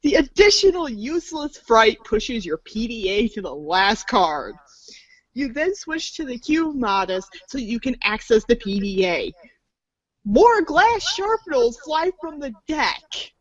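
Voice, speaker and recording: A woman's voice: on the high side, sing-song, measured pace, no echo, highs cut off. A woman narrating a scripted read-along storybook in an informal, playful way, stumbling over words now and then.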